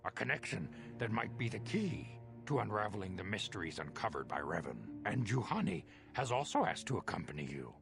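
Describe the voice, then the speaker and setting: An actor playing a grave, stately elder Jedi master in a video game, with a gravelly voice and formal, solemn oratory. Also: male voice, fairly low pitch, big pitch swings, fairly quick, few pauses. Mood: grave, deliberate. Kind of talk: video game cutscene dialogue. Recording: music behind the voice.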